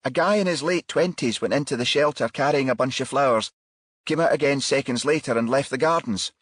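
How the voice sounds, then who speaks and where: A man voicing an alert, dutiful female police detective in a crime audiobook, reporting briskly. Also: mid-range voice, a bit bright, dry recording, studio quality, voice pushed up, natural voice.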